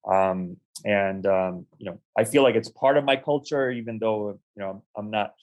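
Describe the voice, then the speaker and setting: A young adult man from the United States, an interview guest, telling his life story in a measured, reflective way with frequent ums and restarts. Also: lowish voice, a little mellow. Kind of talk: conversational storytelling.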